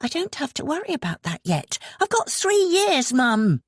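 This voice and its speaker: A sullen, snappish teenage girl, played by a woman narrator in an audiobook drama. The voice leaps high and swoops with indignation, protesting as if thoroughly fed up. The sound is close and dry. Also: very animated, moderate pace, no room sound. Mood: defiant, exasperated.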